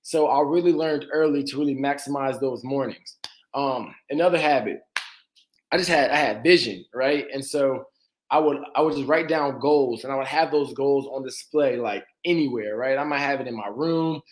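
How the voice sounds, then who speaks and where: A young man from Atlanta talks as a dentist guest on an interview show. His voice sits at an ordinary middle pitch, and he speaks casually and easily, with plenty of "uh"s and quick laughs. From Atlanta, Georgia. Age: 20s. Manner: relaxed, laughs easily, frequent "uh" and "you know".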